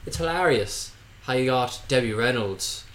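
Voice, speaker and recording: A man's voice: mid-range voice, animated, moderate pace, fairly bright tone. A man co-hosting a podcast, talking about a movie in a laid-back, wry way with casual swearing. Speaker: podcast co-host. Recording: roomy with faint background noise.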